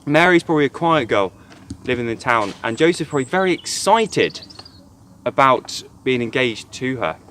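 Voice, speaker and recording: A man's voice: medium pitch, big pitch swings, normal speed, fairly bright tone, slight background noise. A man, a church youth worker, gives an earnest, reflective devotional talk retelling a Bible story.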